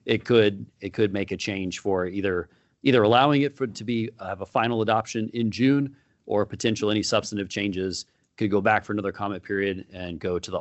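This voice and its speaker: Guy with a lowish voice running a board meeting, lots of ums, dry sound. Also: no room sound, dull audio. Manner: informal, halting, with many fillers. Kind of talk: chairing a public meeting.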